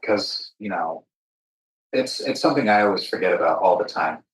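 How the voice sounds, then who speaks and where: A man with a fairly low voice, heard in a roomy, echoing space. He tells stories in a casual, reflective podcast style, earnest and emphatic, pausing between short phrases. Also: expressive. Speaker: podcast co-speaker. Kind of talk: casual reflective conversation, storytelling. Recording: roomy, echoey sound.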